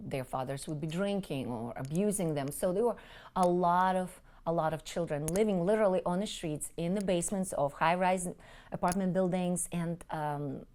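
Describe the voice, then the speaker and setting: A woman's voice: low-pitched, a little mellow. Russian-speaking Ukrainian-born woman, earnest. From Crimea, Ukraine. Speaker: interviewee, relief group leader. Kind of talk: conversational interview.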